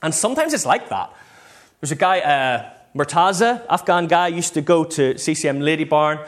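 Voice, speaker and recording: A man's voice: on the high side, lively intonation, normal speed. A man with a Northern Irish accent giving an informal, conversational sermon full of personal stories and bits of acted-out dialogue. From Northern Ireland. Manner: conversational, self-quoting, acts out short bits of dialogue. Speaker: preacher.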